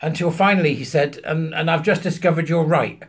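A British man in his fifties telling an anecdote to camera, emphatically acting out both sides of a conversation in a husky voice. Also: fairly high pitch, brisk. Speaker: vlogger. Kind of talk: animated anecdote told to camera. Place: small furnished room at home, indoors.

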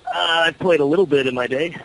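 A man's voice: on the high side, fast, gravelly, a bit bright. A man working as a store employee, casually answering a caller's questions on the phone. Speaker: store employee taking a call. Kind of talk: casual customer-service phone talk. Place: store, on a telephone. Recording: faintly noisy telephone line.

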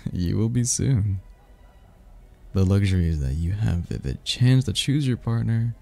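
A man's voice: on the low side, animated, unhurried, creaky, warm tone, faint music behind, full character voice. A man playing a charming, teasing mysterious stranger at a royal ball in a romantic ASMR roleplay, speaking in a playful, flirtatious way.

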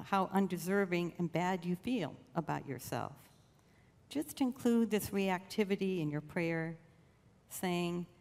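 A woman leading a guided meditation in a slow, gentle, soothing way with long pauses. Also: fairly low pitch. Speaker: church meditation leader. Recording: long reverb of a large room.

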